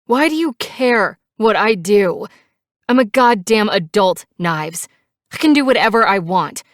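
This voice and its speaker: A woman's voice: mid-range voice, animated, a little slow, no echo, studio quality, voice pushed up, lightly acted. Woman acting distraught, defiant woman, raised voice. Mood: indignant. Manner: pleading and protesting, raised voice. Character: defiant, distraught adult young woman, emotional.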